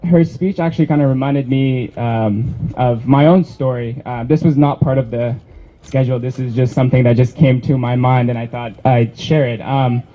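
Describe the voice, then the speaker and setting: A man's voice: mid-range voice, steady tone, normal speed. A young man from Iran speaks off the cuff at an outdoor campus rally, hesitant and full of restarts. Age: young adult. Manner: hesitant, many fillers and restarts. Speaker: student rally organizer. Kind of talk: unscripted rally speech. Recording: dull lo-fi outdoor recording, faint noise.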